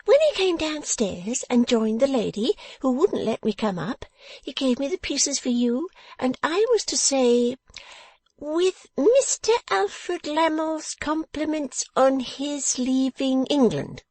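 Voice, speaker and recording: A small, sharp-tongued dressmaker from a Victorian storybook. She speaks in a raised, lively voice, pert and brisk, with spiteful, mocking relish. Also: high-pitched, no echo, voice pushed up. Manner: pert, brisk, slyly exultant. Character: sharp, spiteful, nimble little woman dressmaker, gleefully mocking. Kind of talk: audiobook character voice from a Victorian novel.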